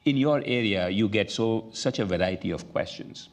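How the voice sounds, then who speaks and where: A man's voice: on the low side, moderate pace. An older man hosting a conversation on stage, interviewing in an informal way. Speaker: host. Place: auditorium stage before an audience.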